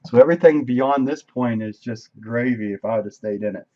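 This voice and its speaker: A man reviewing his day's trades on a live stream, casual and thinking aloud, with a voice that is going hoarse. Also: medium pitch, normal speed, muffled recording. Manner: conversational, thinking aloud, frequent "right?". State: losing his voice, coughing. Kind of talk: casual screen-share trade recap. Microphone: desk microphone.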